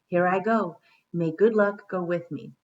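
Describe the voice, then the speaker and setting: A woman's voice: on the low side, measured pace, darkish tone, natural voice. A woman voicing a proud, upright old male swan who talks in an earnest, self-searching way, on a dull lo-fi recording. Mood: resolute.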